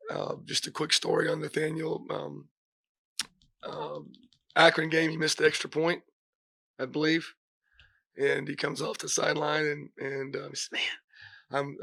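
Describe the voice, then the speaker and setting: Hoarse, gravelly football coach. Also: male voice, on the high side, bright, forceful tone, no echo. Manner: halting, many fillers and restarts. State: hoarse from a cold. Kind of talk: press conference remarks. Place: press conference room.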